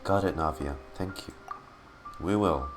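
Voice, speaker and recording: A man's voice: low-pitched, unhurried. A man giving casual live commentary as he streams a game, reacting with murmured asides and exclamations. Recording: game music behind the voice. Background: video game music.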